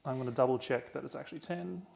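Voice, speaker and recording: A man playing a tabletop wargame on stream, chatting in quick, jokey banter with his opponent. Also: medium pitch, vocal fry, darkish tone, slight background noise. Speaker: wargaming battle report player. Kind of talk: casual tabletop game chat on stream.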